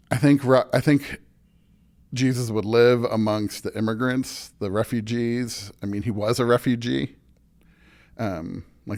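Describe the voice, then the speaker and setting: A man's voice: on the low side, vocal fry, darkish tone. An American man, a pastor being interviewed, talking casually and thoughtfully as he searches for words. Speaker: pastor, podcast guest.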